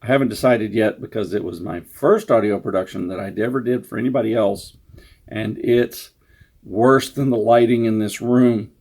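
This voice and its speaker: Man, lowish pitch, gravelly fry, off-the-cuff author's introduction, rambling, self-deprecating. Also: normal speed, darkish tone. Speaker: author introducing his story. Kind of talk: casual unscripted introduction.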